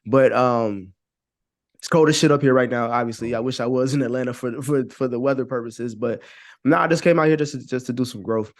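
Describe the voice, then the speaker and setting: A man's voice: mid-range voice, brisk, dry recording, studio quality. A man chatting casually as a podcast guest, informal and slangy, with some swearing. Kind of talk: casual chat.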